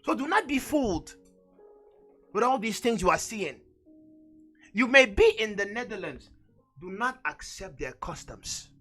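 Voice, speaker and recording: High-pitched, bright-voiced man preaching emphatically. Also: big pitch swings, a little slow, long pauses, breathy edge, faint music behind. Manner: emphatic, exhorting, repeats phrases for effect. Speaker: preacher and teacher on a religious show. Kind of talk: fervent Bible teaching.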